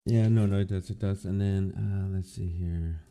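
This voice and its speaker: A man's voice: sits low, steady tone, moderate pace, dark tone. A man hosting a casual interview, asking questions hesitantly with many fillers. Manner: hesitant, lots of uh and let me see. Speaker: host.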